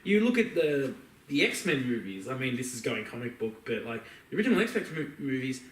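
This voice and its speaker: Male podcast co-host, mid-pitched, conversational and loose, echoey room sound. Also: big pitch swings, brisk. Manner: rambling, conversational, slangy. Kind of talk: casual chat about film and games. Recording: roomy, echoey, distant mic.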